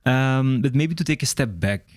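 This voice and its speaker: A man's voice: medium pitch, expressive, moderate pace, dry recording, studio quality. A man hosting an interview, asking questions in a casual way with many fillers. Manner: filler-heavy, many ums. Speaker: interviewer host.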